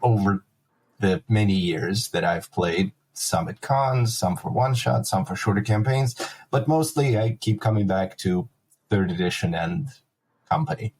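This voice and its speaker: Wry, husky middle-aged man. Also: lowish voice, animated, unhurried, a little mellow. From Odessa, Ukraine. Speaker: player. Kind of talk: casual podcast chat.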